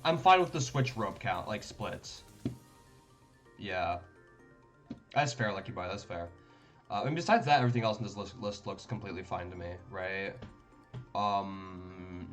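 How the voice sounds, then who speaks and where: Rambling young man thinking aloud. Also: fairly low pitch. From Denmark. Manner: thinks aloud, rambling with many fillers and restarts. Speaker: card game streamer. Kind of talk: casual live-stream commentary.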